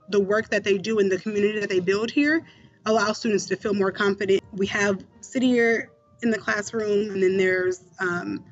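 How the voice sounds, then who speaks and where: A man's voice: high-pitched, fairly bright tone, muffled recording. A man working as a school mentor, answering interview questions in a conversational way with many fillers and restarts.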